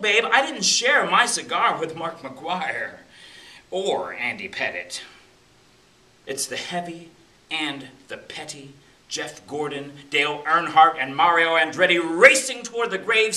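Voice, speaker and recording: Male spoken word poet, slightly high and very forceful, dramatic punched phrasing, live in a reverberant room on a distant mic. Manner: dramatic, incantatory delivery with punched short phrases. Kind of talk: spoken word poetry reading, Beat-style list poem. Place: indoor public library room. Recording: roomy, distant microphone in library room.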